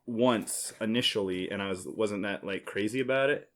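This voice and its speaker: Man, low voice, podcast host, casual banter, teasing, jokey explainer. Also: moderate pace, background noise. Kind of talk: casual board game banter.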